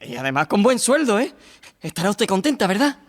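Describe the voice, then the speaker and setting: Actor como mensajero parlanchín con mucha reverberación. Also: male voice, sits high, big pitch swings, a little fast. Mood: excited. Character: Manolo, excitable, chatty male friend bringing news. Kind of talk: theatrical radio drama acting.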